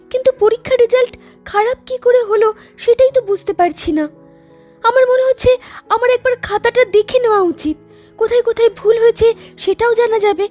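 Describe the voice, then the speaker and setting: A woman's voice: extremely high pitch, mellow. A woman voicing an anxious young village pupil in a horror cartoon. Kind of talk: dubbed horror cartoon dialogue. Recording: music under the voice.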